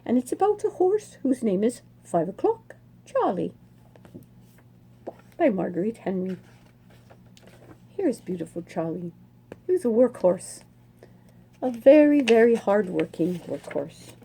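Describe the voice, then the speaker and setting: A woman reads a picture book aloud to children, taking her time over each phrase. Her voice is soft and mellow, with no edge to it. She talks in a lively, sing-song way, as librarians do at story time. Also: mid-range voice, gravelly, slightly rough. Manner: slow, expressive storytelling.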